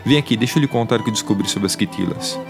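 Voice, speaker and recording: Wry, hesitant male fugitive, lowish voice. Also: quick pace, gravelly, over music. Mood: defensive, wry. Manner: hesitant, repeats himself, wry. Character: anxious, philosophical, ironic male fugitive adventurer. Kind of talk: audio drama acting.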